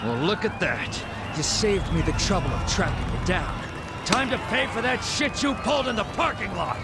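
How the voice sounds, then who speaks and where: Sneering, highish-voiced yakuza thug. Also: male voice, lively intonation, normal speed, over loud music, over a noisy crowd. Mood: threatening. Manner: taunting, slangy, swaggering. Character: sneering, smug yakuza thug, menacing bodyguard. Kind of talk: video game voice acting.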